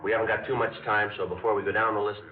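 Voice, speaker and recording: A terse, steady police sergeant, as a man plays him in a vintage radio drama. The voice sits very low, and the delivery stays clipped and businesslike. The sound is tinny and distant, like an old broadcast with music underneath. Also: racing, reverberant, slight background noise, natural voice. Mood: briefing. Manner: clipped, matter-of-fact delivery. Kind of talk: 1950s radio drama acting with narration.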